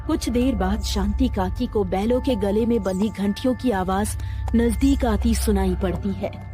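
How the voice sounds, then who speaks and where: Female narrator, mellow tone, suspenseful horror storytelling. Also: medium pitch, a little slow. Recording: faint music underneath.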